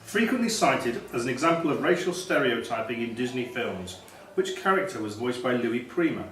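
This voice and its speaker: A man's voice: medium pitch, very animated, normal speed. A man acting as quizmaster, reading out questions to contestants. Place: echoey indoor room. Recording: echoey room, distant mic, noisy.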